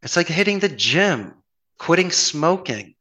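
A man's voice: fairly high pitch, measured pace. A man with a Philadelphia accent giving friendly, rambling advice in a casual chat. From Philadelphia area, United States. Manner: animated, folksy, encouraging, rambling with restarts.

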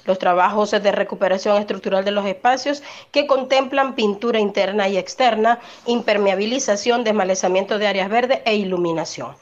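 A woman news reporter reading a scripted report in a steady, formal way. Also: mid-range voice, brisk, few pauses, highs cut off.

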